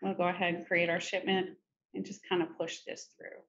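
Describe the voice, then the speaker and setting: A middle-aged woman presenting a software demo in a conversational, informal step-by-step tutorial style. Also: on the low side, little pitch movement, fairly quick. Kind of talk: conversational screen-share tutorial. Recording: muffled, noisy screen-recording audio.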